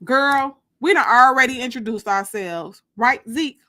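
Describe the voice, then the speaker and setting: A woman's voice: a little high, measured pace, pushed and bright. A woman voicing a blunt, disapproving older matriarch in an audiobook, with curt, folksy phrasing. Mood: brusque.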